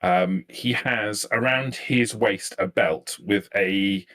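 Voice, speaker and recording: A man's voice: fairly low pitch, slow, a bit bright. A man improvising narration as a game master, hesitant and repeating words as he searches for the right one.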